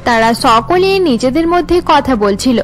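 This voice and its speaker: A woman's voice: high-pitched, expressive, moderate pace, soft background music. A woman reading scripted narration for a cartoon story.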